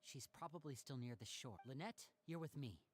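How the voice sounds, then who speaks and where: A man's voice: medium pitch, expressive, moderate pace, natural voice. A man playing an earnest, persuasive, articulate young man loyal to his organization, in an anime game. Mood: decisive.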